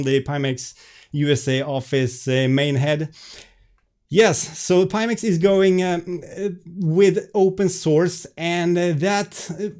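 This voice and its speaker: A man's voice: on the high side, a little mellow. A man with a Swedish accent, chatting on a live stream through a webcam, flustered and apologetic, stumbling over restarts and fillers. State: stressed. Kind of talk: casual live stream chat.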